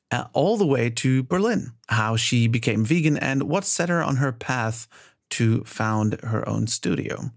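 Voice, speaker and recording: A man's voice: mid-range voice, vocal fry. Enthusiastic chatty male podcast host. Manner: chatty, enthusiastic, with many fillers and restarts. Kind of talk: podcast intro and interview.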